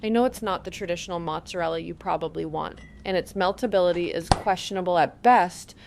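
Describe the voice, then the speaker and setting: A woman's voice: on the low side, fairly quick, gravelly, darkish tone, a little hiss or hum. A woman playing a helpful, orderly pantry keeper in an audio drama, explaining things matter-of-factly. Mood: helpful, upbeat.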